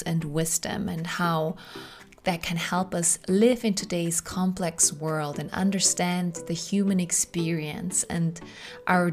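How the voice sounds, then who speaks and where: A woman hosting an interview podcast, speaking deliberately, phrase by phrase. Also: on the low side.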